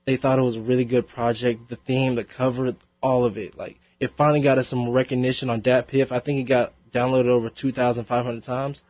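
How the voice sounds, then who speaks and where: A man's voice: mid-range voice, little pitch movement, brisk, few pauses, no echo. A young man, a rapper, chatting casually in a radio interview, laughing often and rambling with plenty of fillers. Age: young adult. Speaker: rapper guest. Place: college radio studio. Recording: dry, slightly dull radio studio sound.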